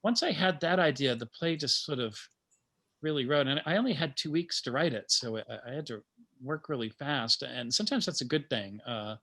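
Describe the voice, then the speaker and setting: Male guest writer, bright and forward tone, medium pitch, thoughtful and halting informal talk. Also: expressive. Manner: halting, thoughtful, chuckling asides. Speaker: playwright and teacher, guest speaker. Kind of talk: informal talk about creative process.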